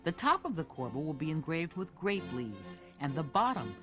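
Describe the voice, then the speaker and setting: A narrator reading scripted documentary narration in a smooth, descriptive way. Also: mid-range voice, big pitch swings, moderate pace. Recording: narration played over a video with music.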